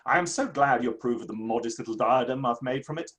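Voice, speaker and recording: A man's voice: medium pitch, quick pace, a bit bright, close to an everyday voice. A man playing a pompous, self-satisfied Victorian playwright in a theatrical dramatic reading. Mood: smug, pleased. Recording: online reading from home setup.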